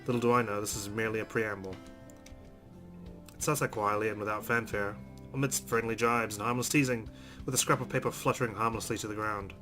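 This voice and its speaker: A man's voice: on the low side, fairly even intonation, brisk. A man hosting a let's play, reading visual novel narration aloud expressively with casual asides. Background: game music.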